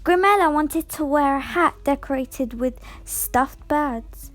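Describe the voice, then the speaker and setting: A woman's voice: high voice, takes it slowly, faint music behind. A very young female reader giving a scripted fairy-tale narration from a storybook. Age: child or very young.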